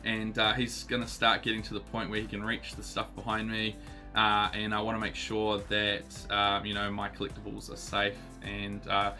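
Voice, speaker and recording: A New Zealand man in his thirties talks in a lowish but bright, forward voice, still husky from a recent illness. He rambles casually with plenty of ums and uhs, and soft guitar music plays faintly behind him. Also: monotone, moderate pace. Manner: chatty, lots of ums and uhs. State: husky, recovering voice. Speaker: card collecting channel host. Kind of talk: casual unboxing chat.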